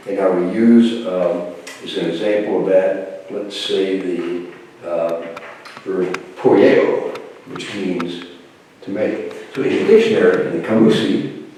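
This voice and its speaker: Older male lecturer, patient and halting, low mellow voice, recorded from across an echoey classroom. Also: fairly even intonation, a little hiss or hum. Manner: explains slowly, with pauses and restarts. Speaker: Greek lecturer. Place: university room with a whiteboard. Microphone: camera mic from across the room. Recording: echoey room, voice from far off.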